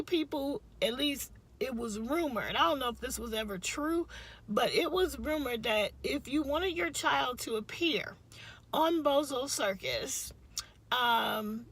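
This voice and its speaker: A woman vlogs from the driver's seat of a parked car, her voice bright and a touch high. She rambles cheerfully and laughs easily. A leftover cough lingers in her speech. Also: breathy edge. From Chicago, US Midwest. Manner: chatty, rambling, laughs often. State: slight cough, recovering voice. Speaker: craft vlogger. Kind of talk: casual chat to camera.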